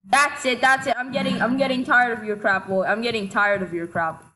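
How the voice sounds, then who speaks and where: Excitable woman trash-talking over gameplay. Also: a little high. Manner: excitable, quick to shout in frustration, trash-talking. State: a little sick. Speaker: gaming commentator. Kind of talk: casual gameplay commentary.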